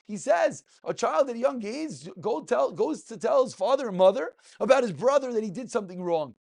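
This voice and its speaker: Male rabbi, informal sermon. Very animated intonation, noticeable vocal fry, hammers points home through repetition. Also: extremely high pitch. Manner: emphatic, rhetorical questions, repeats phrases for emphasis. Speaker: rabbi teaching a religious lesson.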